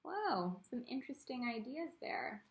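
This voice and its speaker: A woman reading a picture book aloud to children as an art teacher, expressive, with teaching asides spoken directly to the young listeners. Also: on the high side, a little slow.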